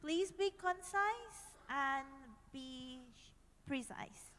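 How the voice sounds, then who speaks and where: A woman's voice: high voice, lively intonation, very slow, fairly bright tone. A woman moderating a question session, polite and directing as she keeps the speakers in order. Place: large conference hall. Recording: reverberant conference hall.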